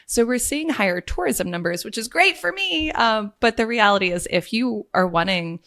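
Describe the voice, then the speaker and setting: A woman's voice: fairly high pitch, lively intonation, normal speed, fairly bright tone. A woman who owns a luxury travel agency, a podcast guest chatting upbeat and telling client stories. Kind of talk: podcast interview.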